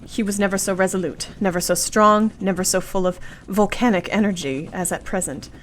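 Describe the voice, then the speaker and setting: An actress playing an earnest, tender young Victorian wife in a radio play, with formal period diction. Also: female voice, medium pitch, brisk, slight background noise, lightly acted. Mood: fervent.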